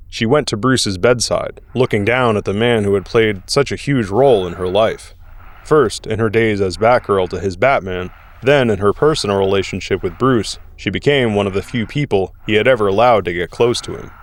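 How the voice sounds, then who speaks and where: A man's voice: fairly low pitch, moderate pace. A man serving as narrator, reading scripted dramatic story narration.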